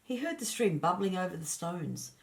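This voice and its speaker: Low-voiced woman reading a children's story. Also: expressive, normal speed, vocal fry, a little mellow. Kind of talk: scripted children's story narration.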